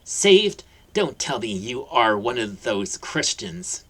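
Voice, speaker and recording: A man's voice: mid-range voice, big pitch swings, takes it slowly, breathy edge, full character voice. A man voicing a snooty, scornful woman who screeches her lines. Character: shrill sneering woman, snooty and loud.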